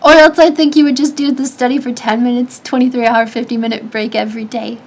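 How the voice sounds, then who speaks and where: A woman with a notably high voice chats casually with her stream audience, handing out advice. She sounds a little nasal and stuffy, and she circles back and restarts her sentences as she goes. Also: little pitch movement, fast talker, slight background noise. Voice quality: high-pitched; slightly nasal. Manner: chatty, advice-giving, lots of restarts and fillers. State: stuffy nose. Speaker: streamer answering chat. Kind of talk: casual chat.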